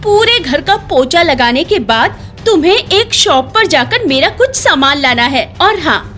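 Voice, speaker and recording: A woman's voice: high voice, big pitch swings, unhurried, fairly bright tone, heavily acted. An actress playing a bossy young sister-in-law who taunts and orders her brother-in-law around. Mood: taunting. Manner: taunting, commanding.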